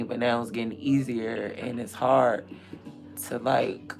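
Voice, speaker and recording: A woman in her thirties with a naturally raspy voice, chatting candidly and rambling on a casual livestream. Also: rumbling low. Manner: candid, rambling, drifts between loud and murmured asides.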